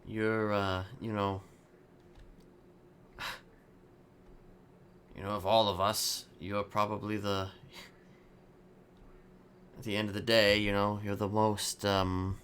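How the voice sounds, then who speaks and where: A man improvising in-character roleplay chat, speaking haltingly with trailing pauses. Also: lowish voice, flat delivery, fairly bright tone, slight background noise. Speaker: streamer playing a roleplay character.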